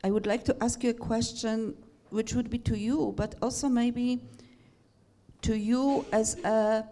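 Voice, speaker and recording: A woman with a Polish accent moderates a conference panel, putting questions to the guests. She speaks very slowly and haltingly, searching for her words. Her voice is warm and mellow. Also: a little high, steady tone.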